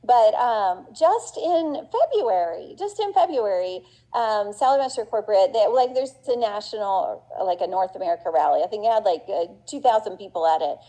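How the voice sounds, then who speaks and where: A woman's voice: medium pitch, expressive, normal speed, few pauses, mellow, poor audio. A middle-aged woman giving an earnest, chatty conference talk as a business owner, with plenty of fillers and restarts. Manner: earnest and passionate.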